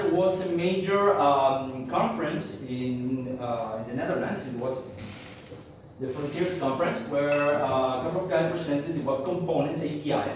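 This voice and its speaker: A man from Argentina giving a conference tech talk to an audience in English as a second language, halting, with many fillers and restarts. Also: a little high, slight background noise. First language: Spanish. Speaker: conference speaker. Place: conference room. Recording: echoey hall, speaker far from mic.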